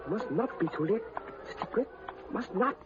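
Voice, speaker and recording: A loyal foreign-born valet in a radio thriller. His voice is high and swoops around as he speaks anxious, clipped broken English. The sound is a dull vintage broadcast with music behind. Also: expressive, unhurried. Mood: worried, determined. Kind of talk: 1930s-40s radio acting.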